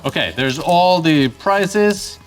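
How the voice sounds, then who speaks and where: A man from Costa Rica hosting his photography channel in a chatty, upbeat way, with bursts of excitement. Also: high voice, slow pace, faint music behind. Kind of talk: casual YouTube presenting.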